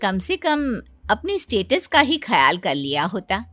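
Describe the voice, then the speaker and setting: A woman's voice: mid-range voice, huge pitch swings, a little slow, a bit bright, dry recording, soft background music. A woman voicing a snobbish wedding guest in a cartoon story, with a sneering, snobbish manner.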